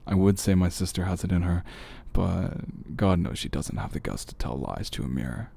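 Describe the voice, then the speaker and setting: Man acting as low, gravelly teasing big brother. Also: animated, warm tone, full character voice. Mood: teasing, smug. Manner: slow, teasing, chuckling, intimate. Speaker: audio roleplay performer. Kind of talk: ASMR boyfriend roleplay monologue.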